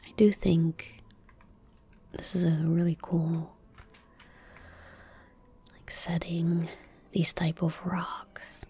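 A young woman murmurs softly into a close desk microphone in a breathy whisper. Her delivery is very slow and gentle, with little rise or fall in pitch. It is relaxed ASMR commentary over gameplay. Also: slight background noise. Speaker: ASMR gaming streamer. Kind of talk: soft-spoken ASMR game commentary. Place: indoor room at a desk.

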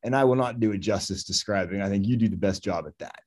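A man's voice: on the low side, fast, darkish tone. A man hosting a radio tech show, upbeat and enthusiastic as he interviews a guest. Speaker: radio host. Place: radio studio.

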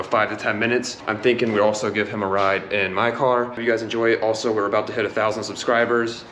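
Young male car vlogger, lowish voice, relaxed and chatty, noisy action-cam sound. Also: roomy sound. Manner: relaxed, chatty, filler-heavy. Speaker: car channel host. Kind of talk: casual car vlog chat. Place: garage, then parking lot and inside a car. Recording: noisy outdoor and car recording.

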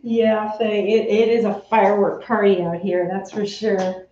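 A woman's voice: medium pitch, fairly even intonation, unhurried, warm tone, slight background noise. Chatty woman in a roomy sewing room. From Texas. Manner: chatty, answers viewers by name while working with her hands. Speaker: craft vlogger answering live chat. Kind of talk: casual live-stream chat while sewing. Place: home sewing room, indoors. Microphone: camera on a tripod, a few feet away. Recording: roomy, slightly dull home-camera sound.

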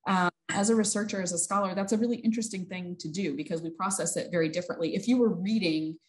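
Woman, thirties, slightly low voice, moderator, academic discussion, office video call. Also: fast talker. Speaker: host, moderator. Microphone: computer mic on video call.

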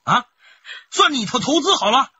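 A scheming middle-aged husband in a radio crime drama. His voice is high and sharp, lurching wildly up and down as he wheedles and bullies, repeating himself. The track is slightly muffled. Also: normal speed, husky, heavily acted. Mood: pushy, boastful. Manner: excitable, repeats words, swings from coaxing to threats. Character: scheming, money-hungry husband, wheedling and bullying.

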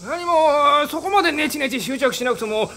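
A very high, creaky male voice as a smug, glib samurai lackey. It is game acting with noticeable noise behind. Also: expressive, moderate pace, bright, forceful tone, heavily acted. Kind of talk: Japanese video game acting.

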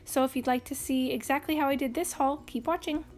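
A high-pitched woman talks through her grocery haul in a brisk, upbeat voice-over, rattling off prices, coupons and cashback. Soft music plays faintly under her voice. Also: steady tone. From Canada. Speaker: couponing channel host. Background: faint background music.

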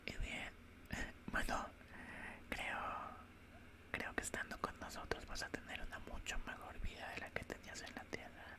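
A young man playing a calm, politely menacing alien doctor in a soothing whispered ASMR roleplay, close to the microphone. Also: lightly acted. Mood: reassuring. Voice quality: soft, breathy unvoiced whisper. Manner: slow, soothing, matter-of-fact. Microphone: close studio microphone.

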